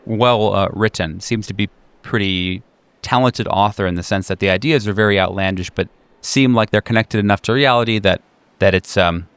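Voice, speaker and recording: A man narrating an improvised tabletop game as game master, in a conversational, halting way with repeated words. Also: fairly low pitch, fairly bright tone, clean tone.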